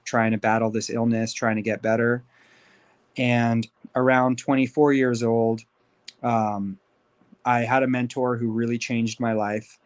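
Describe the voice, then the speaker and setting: Man, 30s, from Los Angeles, podcast guest, candid storytelling, average voice. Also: medium pitch. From Los Angeles, USA. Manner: upbeat, candid, talks freely with fillers. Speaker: podcast guest, life coach. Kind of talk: casual interview storytelling.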